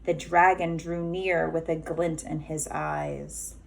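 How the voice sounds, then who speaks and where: A woman's voice: deep voice, level tone, takes it slowly, gravelly. A woman reading a storybook aloud to young children as a teacher, drawing out key words for emphasis.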